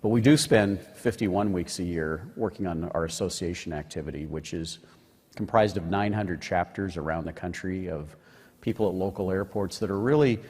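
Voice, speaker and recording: A man's voice: sits low, a little mellow, husky. A man speaking as a conference panelist, informally presenting the work of an organization. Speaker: panelist, aviation association president. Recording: echoey hall with long reverb.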